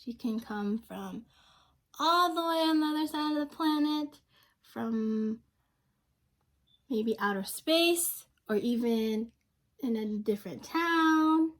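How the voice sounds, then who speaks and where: A young woman reading a picture book aloud to children, pausing to ask them questions and explain things simply. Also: on the high side, expressive. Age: young adult. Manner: simple explanatory asides, prompting young listeners.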